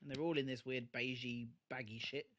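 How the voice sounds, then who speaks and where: A man's voice: mid-range voice, steady tone, a little fast, a bit bright. A man co-hosting a re-watch podcast, chatting casually and swearing as he acts out his reactions. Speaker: podcast co-host. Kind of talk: casual chat, TV re-watch commentary.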